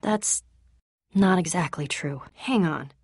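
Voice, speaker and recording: An actress playing a brave, plucky young woman warrior in a video game, dazed and forgetful, halting as she searches for memories. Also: fairly low pitch, a little mellow, husky, no echo, clean studio sound, heavily acted. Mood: earnest, tired. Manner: halting, searching for memories, then bursting out eagerly.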